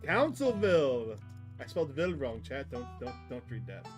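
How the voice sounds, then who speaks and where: A man's voice: mid-range voice, very animated, normal speed. A man hosting a gaming livestream, chatting casually and enthusiastically with his viewers. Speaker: livestream host. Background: game music.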